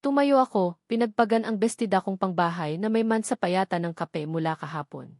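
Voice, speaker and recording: A woman giving a steady, scripted first-person narration for an audio drama. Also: mid-range voice, fairly quick, no room sound, studio quality.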